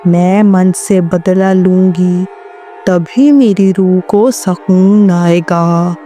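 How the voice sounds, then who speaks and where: Woman voicing a slow menacing witch ghost. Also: fairly low pitch, fairly even intonation, dark tone, faint music behind. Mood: vengeful.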